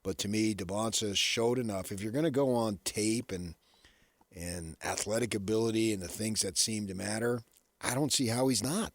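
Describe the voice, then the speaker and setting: A middle-aged or older American man with a low, creaky voice co-hosts a sports talk show. He chats casually and rambles a little, doubling back on his words. The studio sound is close and dry. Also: moderate pace, dry recording. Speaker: sports radio co-host. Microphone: close studio radio microphone.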